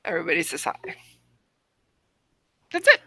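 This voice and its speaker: A woman's voice: lowish voice, wildly swooping pitch, normal speed, sharp tone, slightly rough, dry recording, studio quality. A woman co-hosting a podcast, dropping short, teasing remarks into casual banter. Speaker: podcast co-host.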